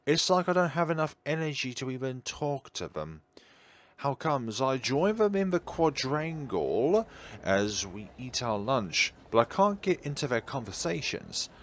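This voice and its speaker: A man's voice: medium pitch, big pitch swings, a little slow, a bit bright. A man reading a visual novel aloud theatrically as a game streamer, slipping out of the story for asides and self-corrections.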